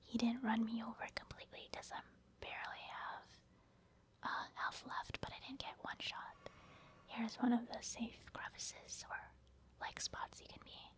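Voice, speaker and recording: A woman giving soft-spoken, informal ASMR commentary over her own video game play, explaining it gently as she goes. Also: fairly high pitch, little pitch movement, unhurried. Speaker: video game streamer narrating own gameplay.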